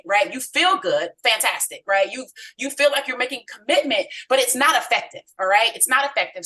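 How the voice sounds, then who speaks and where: A woman's voice: mid-range voice, bright, forceful tone, recorded from a distance, poor audio. A mother speaking on a team video call, giving a conversational motivational talk and often asking "right?". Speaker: team leader on a training call.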